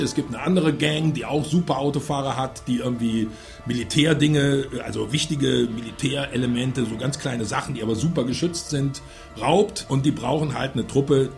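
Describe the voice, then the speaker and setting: A man's voice: mid-range voice, a little mellow. A man giving a casual, colloquial, rambling film review in speech, as a radio show reviewer.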